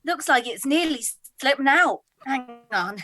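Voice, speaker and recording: A woman's voice: high-pitched, lively intonation, measured pace, pushed and bright, full character voice. A woman acting a chatty, playful darts-playing pub regular in a radio comedy. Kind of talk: radio comedy play reading.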